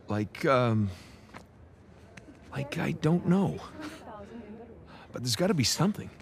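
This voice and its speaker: An American man in his thirties playing a quick-witted treasure hunter in a video game, talking casually as if improvising a plan. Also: medium pitch, expressive, gravelly. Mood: urgent, improvising. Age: 30s. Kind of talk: cinematic video game acting.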